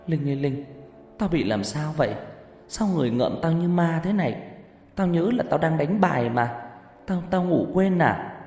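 Here is a frightened young woman, her high voice stammering and pleading through guilt, as a man puts her on. The words ring out in a roomy space with a long reverb tail. Soft music sits faintly underneath. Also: animated, normal speed, pauses often. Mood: confused.

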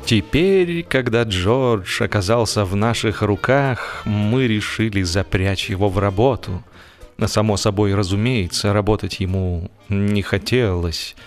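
A man's voice: on the low side, unhurried. Wry male storyteller over music. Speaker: audiobook narrator. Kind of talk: humorous literary narration, theatrical reading.

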